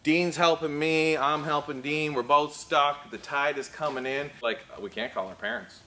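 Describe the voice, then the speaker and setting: Bright-voiced Canadian Maritime man telling stories. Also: fairly high pitch, normal speed, slight background noise. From Prince Edward Island, Canada. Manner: folksy, animated, conversational. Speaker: car dealership storyteller and host. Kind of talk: casual anecdotal storytelling.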